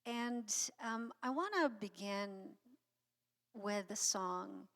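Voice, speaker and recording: A middle-aged woman from Croatia with a Croatian accent, giving an informal inspirational talk with halting, anecdotal storytelling. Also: medium pitch, fairly bright tone. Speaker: guest speaker and singer. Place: church sanctuary, speaking to an audience. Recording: long hall reverb.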